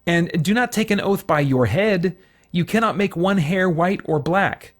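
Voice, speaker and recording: A man hosting an informal Bible study for an online audience, talking in a casual, halting way as he thinks aloud with frequent asides. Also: sits high. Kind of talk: informal sermon, conversational Bible teaching.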